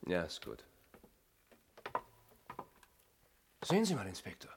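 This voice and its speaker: Brisk, terse police sergeant. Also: male voice, deep voice, wildly swooping pitch, creaky, a little hiss or hum, full character voice. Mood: businesslike. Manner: terse, matter-of-fact questioning. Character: businesslike male police sergeant, the inspector's assistant. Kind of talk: German radio play acting.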